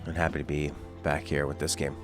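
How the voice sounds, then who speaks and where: Deep-voiced man chatting over game music. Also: fairly quick. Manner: chatty, reacting aloud with sudden excited exclamations. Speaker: game streamer. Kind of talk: casual let's-play commentary. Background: game music and sound.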